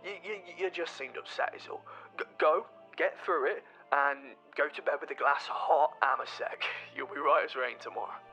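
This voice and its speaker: A man's voice: on the high side, moderate pace, creaky, sharp tone. An actor playing an affectionate, flustered man who stammers a little, heard through a telephone in an audio drama. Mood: concerned, fond. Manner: stammering, hesitant. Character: affectionate, flustered man on a phone call to his sweetheart. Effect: phone line filter. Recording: dull telephone line with music underneath.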